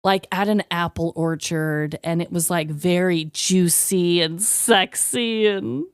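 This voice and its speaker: A woman hosting a podcast in casual comedic banter, with an expressive, irreverent way of talking. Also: fairly low pitch, slow, clean tone, dry recording, clean studio sound. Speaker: podcast host. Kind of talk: casual comedic banter, presenting joke awards.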